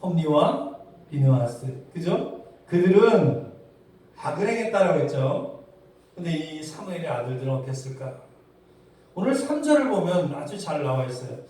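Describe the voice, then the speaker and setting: A man's voice: on the high side, sing-song, normal speed, long pauses, dark tone. A man preaching a sermon, putting rhetorical questions to his congregation. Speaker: pastor preaching. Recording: very echoey hall, distant mic.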